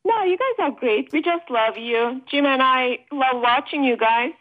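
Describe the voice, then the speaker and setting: A woman's voice: sits high, animated, normal speed. A middle-aged woman chatting casually as a phone-in caller, over a thin telephone line. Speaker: phone-in caller, host's mother. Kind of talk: casual chat.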